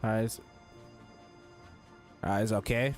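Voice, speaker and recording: A man's voice: fairly low pitch, steady tone, drawn-out words. Casual man reacting to a video game. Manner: slangy, joking, reads on-screen text aloud. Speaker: game streamer reacting to a story quest. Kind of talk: casual gaming commentary. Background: game music.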